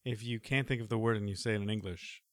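A man's voice: on the low side, fairly even intonation, fast, dry recording, studio quality. A man hosting a podcast, chatting casually in a rambling, thoughtful way full of fillers. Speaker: podcast host.

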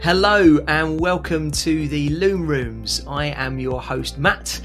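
English man, hertfordshire-essex twang, fairly high voice, chatty host. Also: takes it slowly, over music. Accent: Hertfordshire and Essex border. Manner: stammering restarts, chatty questions. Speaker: podcast host. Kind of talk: friendly interview.

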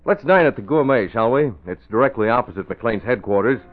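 An actor playing a calm, authoritative young newspaper publisher in an old-time radio drama. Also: male voice, medium pitch, fairly quick, lightly acted. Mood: brisk, unworried. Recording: tinny band-limited old radio broadcast.

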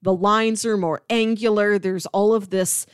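A woman's voice: mid-range voice, steady tone, a little slow, clear, resonant tone, no echo. Woman podcast host just chatting, acts out bits as she tells a story, super dry sound. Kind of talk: casual chat, retelling cartoon scenes.

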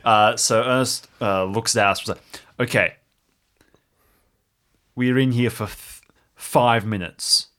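A man's voice: mid-range voice, steady tone, slow, long pauses, sharp tone. A man playing a tabletop role-playing game in casual chat, making short, dry questions and remarks. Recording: music playing behind the voice. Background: background music.

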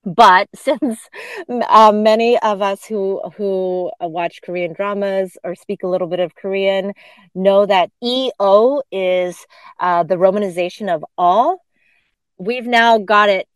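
A woman co-hosting a relaxed podcast, talking slowly and explaining things with plenty of fillers and easy laughter. Her voice is smooth and clear. The sound is dry and close. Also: medium pitch, no room sound. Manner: chatty, laughs often, explains pronunciation with fillers. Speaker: podcast co-host. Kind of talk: casual podcast chat.

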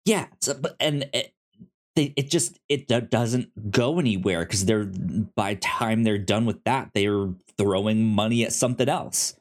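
A man co-hosting a casual movie review discussion, speaking in a halting way with many repeated words and restarts. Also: on the low side. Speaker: podcast co-host reviewing movies.

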